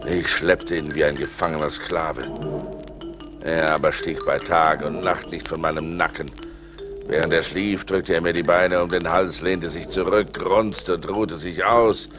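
An actor playing a seafarer who recounts his perilous adventures in a theatrical radio play style, moving between hushed and urgent. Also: deep voice, steady tone, a little slow. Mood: weary, bitter. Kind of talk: dramatic radio play narration. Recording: vinyl record transfer with music behind.

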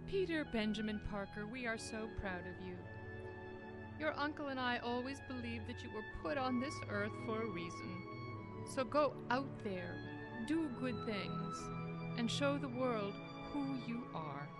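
A woman playing a proud, tender aunt in an amateur radio play, speaking gently and earnestly. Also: a little high, lively intonation, measured pace. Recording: loud music over the voice. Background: loud music.

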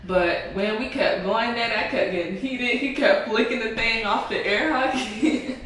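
A young woman chatting casually in her home kitchen, telling a story with lots of giggles and animated asides. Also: on the high side, measured pace. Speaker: vlogger. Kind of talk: casual vlog chat. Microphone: camera across the room. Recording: echoey room, far from camera, background noise.

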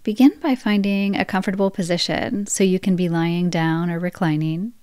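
A woman guiding a yoga nidra relaxation practice, speaking in slow, soft, soothing phrases. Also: fairly low pitch, fairly even intonation, clean tone, no room sound, clean studio sound. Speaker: meditation guide.

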